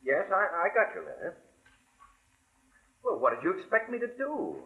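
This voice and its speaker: A man's voice: sits high, big pitch swings, moderate pace, gravelly, full character voice. An actor playing a pressured, stammering man on a telephone in a 1940s radio drama. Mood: flustered. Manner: agitated, stammering repetitions. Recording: thin, phone-like vintage radio sound.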